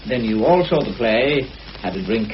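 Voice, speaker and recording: A middle-aged male police inspector, played by a radio actor, genial but probing. His voice moves in lively swings, with polite hesitations and little hums. It sounds like a dull old radio drama recording. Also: mid-range voice, normal speed, a little hiss or hum, natural voice. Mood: probing, mild. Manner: hesitant, polite, mild questioning with small hums. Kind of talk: British radio drama acting.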